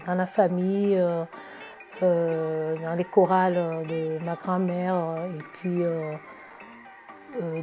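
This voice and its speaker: A woman singer gives interview answers in a warm, dark-toned voice. Her pitch sits a little low as she talks about herself. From Chad or Togo.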